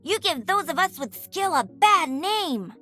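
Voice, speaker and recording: A perky, haughty young female gamer from a video game. She sounds cutesy and indignant, high and swooping, and takes her words slowly. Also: big pitch swings, sharp tone, soft background music, heavily acted. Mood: indignant, disdainful.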